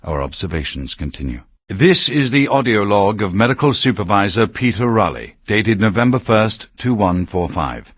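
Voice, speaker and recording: A low, husky middle-aged male doctor dictates a game audio log. He is measured, formal and grave, recorded dry and close. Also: sing-song, fairly bright tone, no room sound, exaggerated voice. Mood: grave, clinical. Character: grave, clinical male medical supervisor dictating reports. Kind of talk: video game voice acting, formal dictated report.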